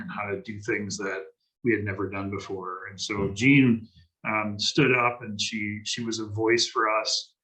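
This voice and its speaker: A man moderating a public health panel, speaking haltingly with many restarts and fillers. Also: fairly low pitch, pushed and bright, rough recording.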